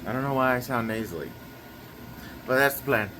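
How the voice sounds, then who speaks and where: A man's voice: mid-range voice, expressive, a little fast, bright, forceful tone, a little hiss or hum, rough recording. A young man chatting casually through his daily vlog plans, a little nasal and rambling with lots of fillers. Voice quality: slightly nasal. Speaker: vlogger.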